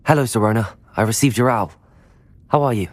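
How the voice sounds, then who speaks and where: An actor playing a polite, composed young student wizard in a video game, speaking with a British accent and courteous restraint. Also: male voice, mid-range voice, fairly quick, natural voice.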